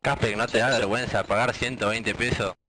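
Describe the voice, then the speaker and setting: A man's voice: on the low side, fairly even intonation, moderate pace, noisy background. A man with an Argentine accent trash-talking another player over game voice chat, mocking and relentless. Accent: Argentine Spanish.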